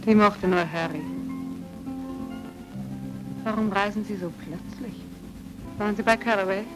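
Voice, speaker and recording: A woman's voice: medium pitch, a little slow, over music, lightly acted, rough recording. An actress playing a guarded, melancholy woman in a radio crime drama, speaking in short sentences. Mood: sad, subdued. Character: guarded, melancholy woman grieving a dead crook.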